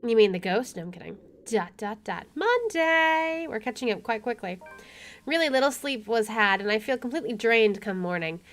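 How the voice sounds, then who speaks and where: A woman's voice: mid-range voice, fairly quick, soft background music. A woman streaming a visual novel, reading its text aloud and dropping in casual, wry asides. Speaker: video game streamer.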